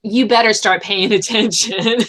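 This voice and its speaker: A woman's voice: medium pitch, a little slow. Chatty, informal woman podcast host. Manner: animated, informal, peppers talk with "like" and "you know". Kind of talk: casual podcast conversation.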